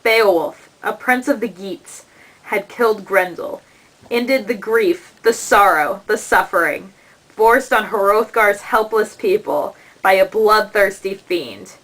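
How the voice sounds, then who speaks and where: Slow, solemn woman storyteller. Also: mid-range voice, fairly bright tone, rough recording. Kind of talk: dramatic narration of an epic poem for a puppet show.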